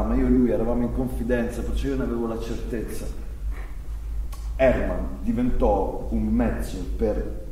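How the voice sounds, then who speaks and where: An actor playing an agitated, guilt-ridden young man in a halting, confessional theatrical monologue. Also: mid-range voice, darkish tone. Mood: hesitant, confessional. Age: young adult. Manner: confessional, intense, halting, addressing the audience. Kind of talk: theatrical monologue, staged reading. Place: theatre stage, live performance. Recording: echoey hall, distant mic, faint noise.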